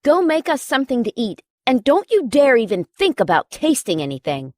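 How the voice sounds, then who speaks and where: A woman's voice: sits high, huge pitch swings, normal speed, a little mellow, dry recording, studio quality. A woman voicing a cruel, domineering male alpha werewolf in an audiobook, commanding and threatening.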